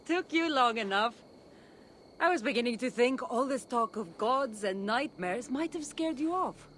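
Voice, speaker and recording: A woman's voice: a little high, animated, measured pace, fairly bright tone, a little hiss or hum. An actress playing a seasoned female artifact hunter in a video game, wry and teasing yet solemn about her duty. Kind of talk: video game character dialogue.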